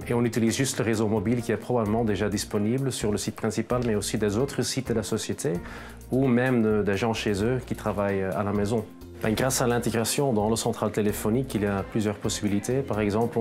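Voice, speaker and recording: A man's voice: fairly low pitch, a little mellow. A man explaining a product in a matter-of-fact way, as a specialist in a corporate testimonial. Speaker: telecom solution specialist. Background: background music.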